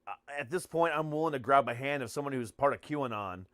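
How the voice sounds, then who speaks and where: A man's voice: mid-range voice, animated, quick pace, a bit bright, dry recording, studio quality. An American man hosting a podcast in casual chat, speaking bluntly and swearing freely. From United States. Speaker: podcast host and comedian.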